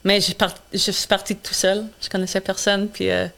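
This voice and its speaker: A woman's voice: mid-range voice, little pitch movement, a little fast, creaky, a bit bright. A woman in her twenties whose first language is English, answering interview questions earnestly with frequent hesitations. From Quebec. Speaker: guest, charity founder.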